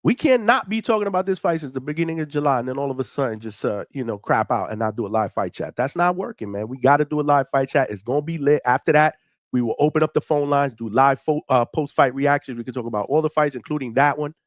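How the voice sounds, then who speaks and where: A middle-aged man hosting a live boxing call-in stream, chatting casually in hyped, slangy talk with shout-outs and free swearing. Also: medium pitch, fast talker, no echo, studio quality. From New York City, United States. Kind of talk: casual livestream chat with viewers.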